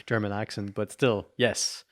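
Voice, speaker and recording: A man's voice: fairly low pitch, level tone. A man co-hosting a podcast, reacting and asking questions in casual conversation. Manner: engaged, interjecting, quick to react. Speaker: podcast co-host.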